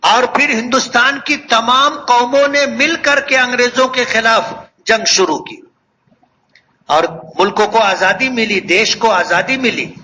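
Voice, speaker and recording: High-pitched, slow preacher man. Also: bright, forceful tone, a little hiss or hum, rough recording. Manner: earnest, measured, repeats phrases for emphasis. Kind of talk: religious sermon to a congregation.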